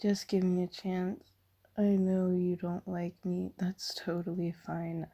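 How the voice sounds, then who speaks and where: Kindly female demon played by a woman for ASMR comfort roleplay: very dark, soft tone, slow, hesitant, reassuring. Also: lowish voice, monotone. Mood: apologetic, gentle. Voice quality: soft, breathy, half-whispered. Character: kindly apologetic female sleep paralysis demon, gentle comforter.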